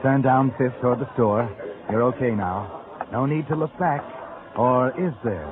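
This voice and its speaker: A man's voice: medium pitch, moderate pace, lightly acted. A man playing a sinister, taunting narrator in a 1940s radio suspense drama, speaking insinuatingly and urgently to a fugitive. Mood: urgent, hushed. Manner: insinuating, urgent second-person address. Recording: tinny old radio, loud music behind.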